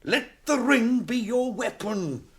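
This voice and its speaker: An actor playing a proud, impatient warrior lord in a radio fantasy drama, forthright and challenging. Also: male voice, extremely high pitch, animated, slow, creaky, fairly bright tone, exaggerated voice. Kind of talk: classic British radio fantasy drama acting.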